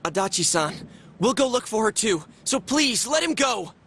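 A man's voice: high-pitched, very animated, normal speed, a bit bright, slight background noise, full character voice. A man playing an earnest, anxious teenage boy in a video game, urgent and stumbling over his words. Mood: pleading. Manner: urgent, stammering.

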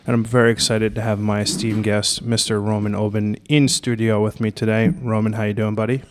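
A man's voice: on the low side, little pitch movement, a little fast, a little hiss or hum. A man hosting a podcast, asking casual questions full of "like" and "kinda". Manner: friendly, fills with "like" and "kinda". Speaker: podcast host.

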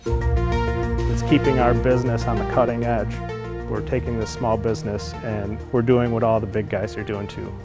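An American man in his forties, a production manager, talking colloquially in a customer testimonial interview. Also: fairly low pitch. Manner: plain-spoken, colloquial. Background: music.

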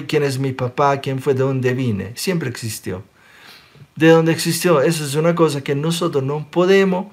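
Man, italian accent, forties, spiritual teacher, animated, webcam close up, somewhat high pitch. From Sicily. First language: Sicilian. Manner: animated, gestures a lot, checks in with listeners by asking "ok?". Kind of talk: live online Q&A talk. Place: small room indoors.

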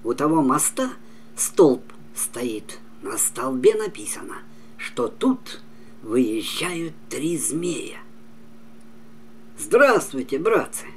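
Older male storyteller, unhurried dramatic fairy-tale narration with wide swings of pitch, noisy home recording. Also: big pitch swings. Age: older, about 70s.

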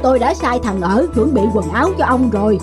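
A woman's voice: on the high side, expressive, lightly acted. A woman voicing a nagging, skeptical middle-aged wife in a folk-tale cartoon, chiding over music. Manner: brisk, chiding. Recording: music behind the voice.

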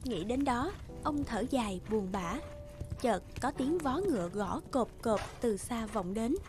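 A female storyteller reads a scripted fairy tale. Her voice is fairly high, with faint music behind it. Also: animated, a little slow. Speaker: narrator.

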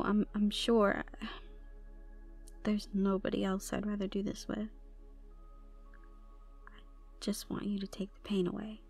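Over background music comes a troubled young tomboy goth girl, acted by a woman in an ASMR roleplay. She is vulnerable and shy, speaking at a medium pitch with hesitant phrasing and hushed asides. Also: gravelly. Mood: shy, tender. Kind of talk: intimate ASMR roleplay acting.

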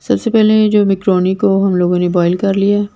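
A woman's voice: medium pitch, flat delivery, brisk, dark tone, dull audio. A woman with a Pakistani Urdu accent, hosting a cooking channel and explaining a recipe step by step in a plain, repetitive instructional way. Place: home kitchen.

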